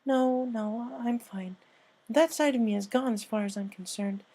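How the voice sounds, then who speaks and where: A woman's voice: on the high side, unhurried, dark tone, voice pushed up. A woman voicing a young female cartoon pony who is anxious and sheepish, hesitantly insisting that all is fine. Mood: reassuring, nervous. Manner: sheepish, hesitant, over-assuring.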